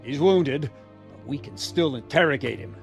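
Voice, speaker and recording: A man playing a dutiful medieval captain in a video game, reporting in a brisk, businesslike way. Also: a little high, creaky, soft background music. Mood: urgent, dutiful. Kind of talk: dramatic video game acting.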